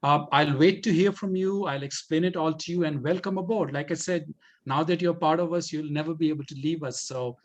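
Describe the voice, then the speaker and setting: A man with a slightly high-pitched voice speaks as the presenter at an informal question-and-answer session. He phrases things deliberately and often repeats words to drive them home. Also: steady tone, lo-fi sound. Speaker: presenter for a community language-learning project.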